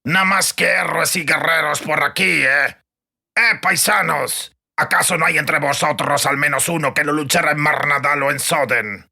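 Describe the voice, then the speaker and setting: A man voicing a gruff, belligerent male dwarf in an audiobook, roaring and mocking. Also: on the high side, moderate pace, bright, forceful tone, full character voice. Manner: roaring, indignant.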